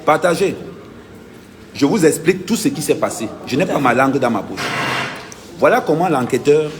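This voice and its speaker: A man's voice: a little high, lively intonation, moderate pace. A man, an activist vlogger giving an insistent, emphatic first-person account and repeating his key points.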